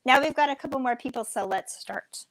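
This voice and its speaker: A woman's voice: high-pitched, fairly quick, over a noisy crowd. A woman hosting a casual livestream, upbeat and welcoming as she greets the chat and the guest. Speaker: livestream host.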